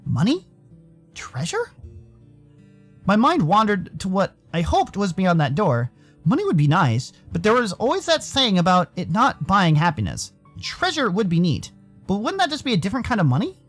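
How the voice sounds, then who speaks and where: A man's voice: sits high, wildly swooping pitch, normal speed, fairly bright tone, faint music behind, heavily acted. An American man voicing a curious, skeptical young furry protagonist who narrates and talks in a visual novel, with a dry questioning manner. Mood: thoughtful.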